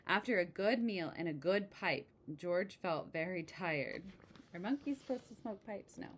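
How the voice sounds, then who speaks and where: A woman with a slightly low voice reads a children's storybook aloud. Her delivery is expressive and exclaiming, broken up by casual asides. There is some noise in the recording behind her. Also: normal speed. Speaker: storybook reader.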